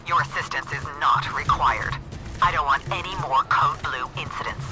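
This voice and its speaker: Game role, male actor as commanding officer: stern, clipped, higher-set voice, prominent score behind. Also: normal speed, natural voice. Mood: stern, urgent. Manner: clipped, authoritative. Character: stern military commander issuing briefings and orders. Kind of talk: video game voice acting. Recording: game audio with loud music behind.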